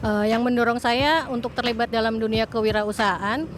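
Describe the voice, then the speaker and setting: A woman in her fifties, a baker nearing retirement, speaking haltingly with restarts in an interview. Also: on the high side, fairly even intonation, background noise. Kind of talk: interview to camera.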